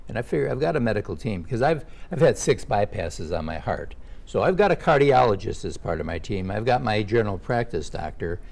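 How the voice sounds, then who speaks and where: A man's voice: lowish voice, sing-song, a little fast, gravelly, a little mellow. An older man hosting a casual health interview, asking leading questions and adding personal asides. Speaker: show host.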